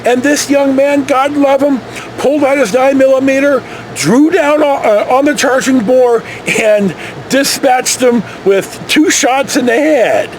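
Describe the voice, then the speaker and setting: A man's voice: extremely high pitch, measured pace, slight background noise, poor audio. An American man from California tells a casual, rambling anecdote in a folksy, digressive, mildly profane way. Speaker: storytelling vlogger.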